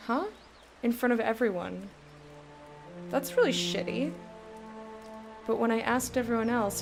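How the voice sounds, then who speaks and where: A woman's voice: mid-range voice, gravelly. A woman who hosts a let's play, reading the game's text aloud and chatting casually.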